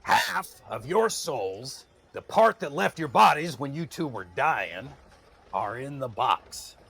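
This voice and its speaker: A man playing a flustered, excitable soul tracker underling in an audio drama, nervous and exclaiming, prone to stammer. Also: mid-range voice, takes it slowly, gravelly, breathy edge, heavily acted. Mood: impatient. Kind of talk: scripted audio drama acting.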